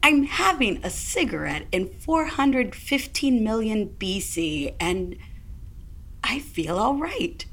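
Casting: understated, wry outsider for audio drama, breathy rasp, slow. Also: female voice, a little high, big pitch swings, a bit bright, exaggerated voice. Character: wry, awed newcomer woman, a smoker. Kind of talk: naturalistic audio drama acting.

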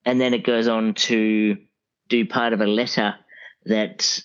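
A man speaking as a podcast guest reviewing audiobooks, picking his words in a halting, considered way. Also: lowish voice, little pitch movement, fairly bright tone, clean tone, lo-fi sound. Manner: halting, considered, deliberate phrasing. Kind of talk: conversational review.

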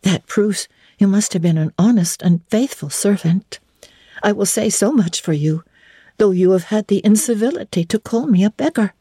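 A woman narrating classic literature in a dramatic, measured and formal storytelling style. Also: mid-range voice, dark tone, husky, no echo, studio quality. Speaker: audiobook narrator.

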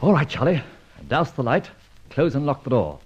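An actor playing a suave, debonair gentleman adventurer in an old radio serial, urbane, wordy and teasing in a mock-formal way. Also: mid-range voice, big pitch swings, moderate pace, muffled recording, soft background music, close to an everyday voice. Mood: brisk, assured.

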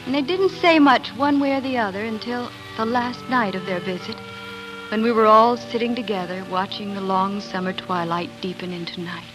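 Woman to play tender, earnest pioneer wife narrating 1940s radio drama; mid-pitched voice, music underneath. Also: normal speed, natural voice, low-quality recording. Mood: proud, reflective.

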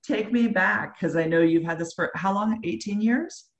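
A woman's voice: mid-range voice, normal speed, over a noisy crowd. An American woman hosting a casual interview, asking chatty questions with fillers. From United States. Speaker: host.

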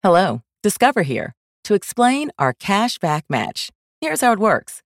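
A woman's voice: mid-range voice, very animated, a little slow, no room sound, clean studio sound. A woman reading a scripted explanatory commercial. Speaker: advert voice-over.

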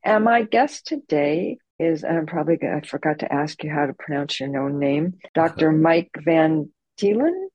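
A middle-aged woman hosts the interview in a lowish voice, chatty and quick to giggle. The sound is dry and close, with no room echo. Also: lively intonation, a little mellow, husky, highs cut off. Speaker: podcast host. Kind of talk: casual interview.

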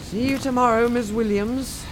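A woman's voice: on the high side, steady tone, normal speed, slight background noise, close to an everyday voice, low-quality recording. A woman playing a reserved village helper, terse and formal as she speaks, in a naturalistic audio drama. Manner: terse, formal, politely refusing. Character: curt, formal, reluctant female village helper.

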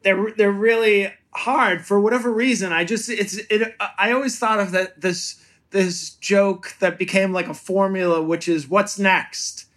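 A man's voice: sits high, steady tone, measured pace, a bit bright. A man in his fifties, a guest comedian in a casual chat, talking in an eager, thoughtful way with many restarts. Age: 50s.

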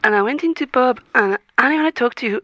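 A woman playing a lonely young French au pair calling in, speaking halting broken English with a French accent. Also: on the high side, sharp tone, no echo. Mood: plaintive. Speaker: caller. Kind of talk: improvised comedy call-in.

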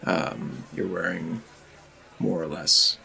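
Male game master, gravelly and rough-edged, casual halting narration. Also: low voice, bright, forceful tone, faint music behind, low-quality recording. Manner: loose, halting narration with fillers.

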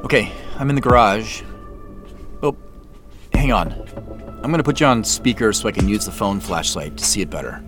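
A man's voice: on the low side, normal speed, pauses often, music in the background, lightly acted. A man acting in an audio drama as an uneasy, polite man on a tense phone call, speaking in a hesitant, understated way. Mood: hesitant, reassuring.

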